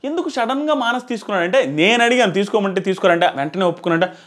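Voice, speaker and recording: A man, giving an informal, animated review as he explains and repeats his points into a lapel mic. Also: high voice, racing. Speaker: reality show reviewer. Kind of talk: informal episode review commentary. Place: small plain indoor room. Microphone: clip-on lapel mic.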